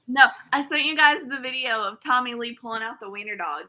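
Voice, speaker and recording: Blunt, bright-voiced woman, cursing freely. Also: fairly high pitch, a little fast, rough recording. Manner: blunt, profane, animated storyteller. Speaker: co-host of a livestream. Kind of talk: casual group chat.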